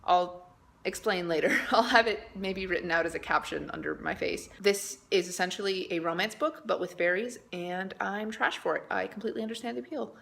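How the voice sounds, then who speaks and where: A woman's voice: mid-range voice, fairly quick, gravelly, a bit bright, slight background noise. A woman chatting casually to camera as a book vlogger, slangy and opinionated in how she talks. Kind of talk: casual vlog chat to camera.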